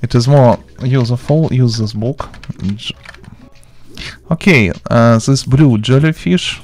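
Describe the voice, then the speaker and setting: A man with a non-native English accent giving a gameplay tutorial in halting, choppy phrases with broken grammar. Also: mid-range voice, a little mellow. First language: not English. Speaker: game tutorial host.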